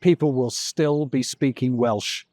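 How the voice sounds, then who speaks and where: A man's voice: medium pitch, a little slow, a little mellow, dry recording, studio quality. A man presenting a news feature, narrating and interviewing on location. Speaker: reporter and presenter. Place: outdoors at a castle.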